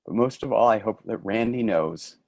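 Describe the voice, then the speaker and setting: A man's voice: on the low side, normal speed, gravelly, no echo. A man acting in a play reading as an earnest, fed-up male office worker, grieving and sardonic, with an emotional delivery. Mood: solemn, heartfelt. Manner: emotional, swinging from shouting to quiet grief. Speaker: actor in a staged reading.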